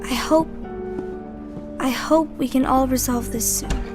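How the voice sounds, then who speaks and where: A woman's voice: sits high, fairly even intonation, a little slow, music in the background. A woman playing a sweet, anxious young girl in a video game cutscene, timid and worried.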